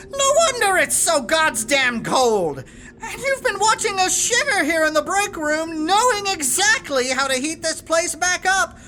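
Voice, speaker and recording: A woman's voice: extremely high pitch, bright, forceful tone, slight background noise, exaggerated voice. A woman playing an exasperated, sarcastic staff manager in an audio drama, with a clipped, indignant manner.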